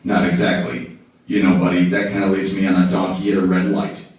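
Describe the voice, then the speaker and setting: A man playing a wisecracking, gruff private detective puppet in a film-noir parody, slangy and wry. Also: low voice, monotone, fairly quick, a little mellow, lightly acted. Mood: wry, joking. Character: wisecracking, down-on-his-luck hard-boiled male private detective puppet. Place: indoor hall, behind a puppet stage. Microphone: far camera in the audience. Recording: echoey room, distant audience camera.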